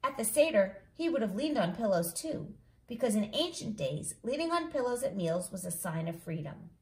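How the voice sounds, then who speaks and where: A woman with a fairly high, very lively voice reads a children's storybook aloud. She narrates expressively, like a read-along host, and the room around her is echoey. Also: normal speed. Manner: animated, acts out dialogue.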